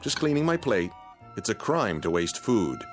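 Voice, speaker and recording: Animated, fussy penny-pinching husband. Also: male voice, on the low side, normal speed, over loud music. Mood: musing. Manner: genteel, fussy, wordy, musing to himself. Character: mild, penny-pinching husband, eager about free bargains. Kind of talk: radio drama acting.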